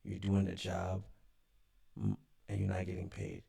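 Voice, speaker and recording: A man's voice: low-pitched, big pitch swings, measured pace, vocal fry, mellow, slightly rough. A man chatting casually in an offhand, conversational way. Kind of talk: casual chat.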